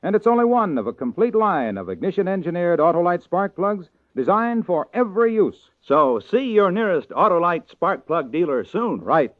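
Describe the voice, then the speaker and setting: An American man as a commercial announcer, giving a polished mid-century radio sales pitch. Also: dry recording. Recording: thin phone-like vintage radio broadcast.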